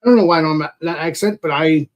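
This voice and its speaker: High-pitched dude, playful, keeps repeating himself. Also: male voice, quick pace. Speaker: beer channel host, guest. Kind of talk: casual chat.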